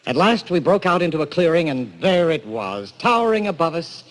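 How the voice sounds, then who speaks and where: An actor playing an obsessed, imperious explorer in a 1940s radio drama, intense and theatrical. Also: male voice, a little high, very animated, a little fast, low-quality recording. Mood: awed, intense. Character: obsessed, imperious male explorer back from the jungle.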